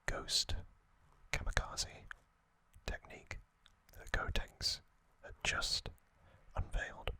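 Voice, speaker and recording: A man whispers an ASMR narration very close to the microphone in a dry space. His breathy whisper is crisp and sibilant, with no real tone to it. He goes very slowly, placing each short phrase deliberately to soothe the listener. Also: pushed and bright, dry recording. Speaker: ASMR host.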